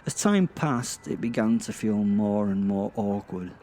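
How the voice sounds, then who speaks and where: A sardonic, foul-mouthed werewolf, played by a man, tells his own story in a dark comic audio drama. His lowish voice rambles and halts, mocking himself with theatrical emphasis over a clearly noisy ambient backdrop. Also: animated, a little slow. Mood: uneasy. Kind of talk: dramatic first-person horror-comedy narration. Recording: clear background ambient noise.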